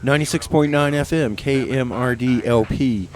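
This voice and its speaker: A man's voice: mid-range voice, normal speed. A man hosting a community radio show, chatting in a rambling, easygoing way with lots of fillers between songs. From New Mexico, USA.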